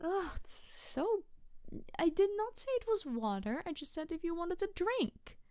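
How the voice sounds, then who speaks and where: A woman voicing an excitable, playful young tanuki spirit in a roleplay audio, rambling and stammering as she talks to the listener. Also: very high voice, measured pace, dry recording, voice pushed up, full character voice. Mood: playful, teasing.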